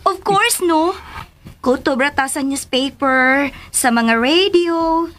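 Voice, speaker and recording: A Filipino-accented woman in a radio drama playing an excitable, doting young wife and mother. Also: high voice, slow pace. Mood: excited, gushing.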